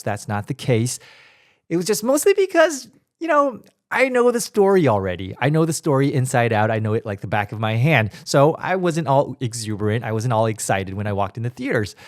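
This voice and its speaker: A man with a raspy, cold-worn voice hosts his own podcast, chatting away with lively emphatic asides. His voice sits at an ordinary middle pitch. The recording is close and dry, with no room sound. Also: no echo. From Taiwan. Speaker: podcast host. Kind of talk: casual solo podcast review.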